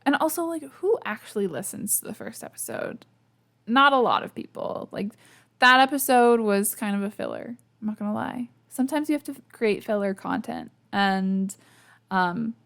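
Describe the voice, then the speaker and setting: A woman's voice: mid-range voice, gravelly. A young woman hosting her own podcast in a casual, chatty, self-deprecating way, sleepy and losing her voice, laughing often between lots of fillers. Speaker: podcast host. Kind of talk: casual solo podcast chat. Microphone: podcast microphone.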